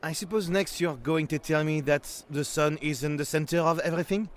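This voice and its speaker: A naive young Frenchman from medieval times played by a male actor in an audiobook adventure. His voice sits on the high side for a man, baffled and skeptical in manner. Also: a little fast, lightly acted. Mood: joking disbelief. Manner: incredulous.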